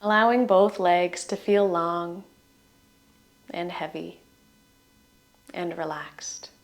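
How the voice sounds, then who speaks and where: A woman leading a guided relaxation in soothing, gentle short phrases that trail off softly at the ends. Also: on the low side, steady tone, slow. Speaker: meditation guide.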